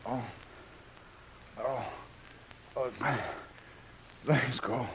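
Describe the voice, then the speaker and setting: An actor playing a wounded, stoic adventure hero, groaning weakly in pain in an old radio serial. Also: male voice, mid-range voice, little pitch movement, extremely slow, a bit bright. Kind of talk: 1930s radio serial acting. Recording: hissy, muffled old radio recording.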